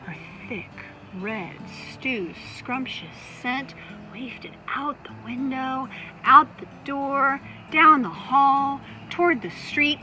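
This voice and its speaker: A woman reads a picture book aloud for young children in a fairly high, bright voice. She stretches phrases and leans into key words with dramatic emphasis. Soft music plays underneath. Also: low-quality recording. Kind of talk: expressive scripted read-aloud for young children.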